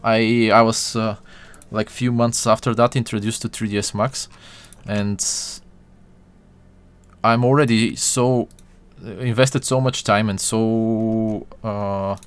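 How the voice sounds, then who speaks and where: A man's voice: on the low side, fairly even intonation, slow, smooth. A young man from Bulgaria chatting with his live-stream viewers while he models, full of fillers and restarts.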